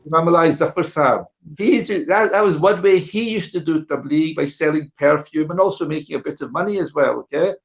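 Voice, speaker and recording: Middle aged guy, voice a bit high, chatty talk with slides, repeats himself to make the point, muffled stream sound. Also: fairly quick, hardly any pauses. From born in Guyana. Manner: conversational, repeats phrases for emphasis, checks in with listeners. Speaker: guest speaker sharing memories of his father. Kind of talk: informal illustrated talk to an online audience. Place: live online video call. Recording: dull, muffled online stream audio.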